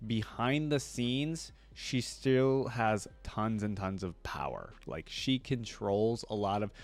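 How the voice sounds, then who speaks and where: A man hosting a reaction video, chatting casually and thinking out loud with frequent restarts. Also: on the low side, no room sound. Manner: rambling, thinks aloud with restarts.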